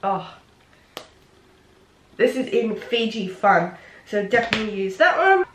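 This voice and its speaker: A woman with a head cold, sounding nasal and sniffly, chatting excitedly through a casual makeup tutorial. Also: on the high side, a little slow, noisy background. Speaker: beauty vlogger. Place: home room. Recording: roomy, echoey room.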